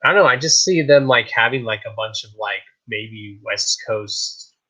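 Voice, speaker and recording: A man hosting a casual live stream show, chatting in a hyped, rambling way, his voice a little raspy. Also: on the low side, moderate pace, bright, forceful tone, rough recording. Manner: hyped, rambling, repeats "I kid you not". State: slightly raspy voice.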